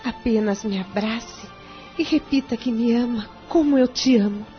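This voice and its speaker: An actress playing a loving, grateful wife, tender and heartfelt, in an audio drama. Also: female voice, on the high side, moderate pace, lightly acted. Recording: dull lo-fi audio, music underneath.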